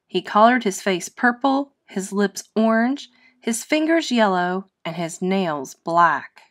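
A woman narrates a children's picture book aloud. Her pace is very slow and deliberate. She tells it expressively, phrase by phrase. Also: mid-range voice, animated, fairly bright tone. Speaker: storybook reader.

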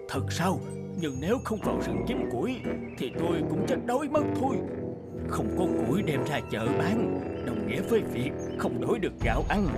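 A man voicing a poor, cautious middle-aged woodcutter in a cartoon, talking slowly and hesitantly. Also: on the high side. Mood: worried. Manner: slow, hesitant, wary. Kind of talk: cartoon voice acting. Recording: music bed under the voice.

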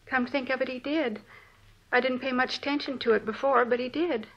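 A woman's voice: sits high, fairly even intonation, fairly quick. A woman playing a shaken, indignant American housewife in her forties, a crime victim in a 1950s radio drama, talking in plain, clipped sentences. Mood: recalling. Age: 40s. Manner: plain-spoken, dropping sentence openings. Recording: dull vintage radio recording.

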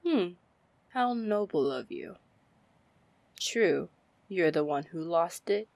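A woman playing a confident, commanding, flirty female pirate captain in an ASMR fantasy roleplay, breathy and deliberate. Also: animated, a little mellow, no echo, exaggerated voice. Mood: wry, probing. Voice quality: breathy, low, husky. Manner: slow, deliberate, smooth-talking. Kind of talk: ASMR roleplay acting, fantasy audio drama.

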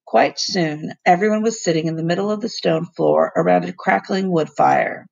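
A woman reads aloud to her class in a steady, unhurried storytelling way. Her voice is a little husky with a gravelly edge, and now and then she stops to fix a word or speak straight to the kids. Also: lowish voice, lo-fi sound. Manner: plain, unhurried reading with small slips she corrects and asides to the kids. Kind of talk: read-aloud storybook narration.

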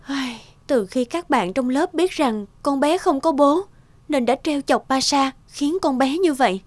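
Caring cartoon mom, high voice, earnest, emotional delivery, music underneath. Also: female voice, unhurried, no room sound. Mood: concerned. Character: anxious, loving young mother, earnest and emotional. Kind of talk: cartoon dubbing. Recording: music behind the voice.

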